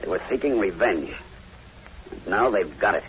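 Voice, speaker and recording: A man's voice: low-pitched, expressive, moderate pace, a bit bright. An actor playing a grave, uneasy island man who believes in zombies, explaining ominously in a 1940s radio horror play. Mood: grim. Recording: muffled, hissy old radio recording.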